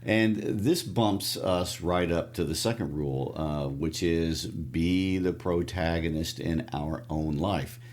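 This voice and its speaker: A man with a Midwestern accent, co-hosting a casual book discussion podcast and explaining the story in a relaxed way. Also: deep voice, slow, hardly any pauses. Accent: Midwestern American. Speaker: podcast co-host.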